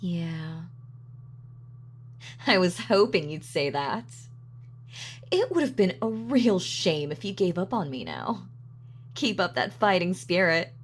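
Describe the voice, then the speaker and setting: A woman's voice: lowish voice, big pitch swings, slow, long pauses, a little hiss or hum. A woman playing a gloating, sadistic supervillain in an audio roleplay, with a mock-sweet, sardonic, taunting manner. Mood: coaxing. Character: gloating female supervillain, sadistic, darkly playful. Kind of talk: villain monologue in audio roleplay.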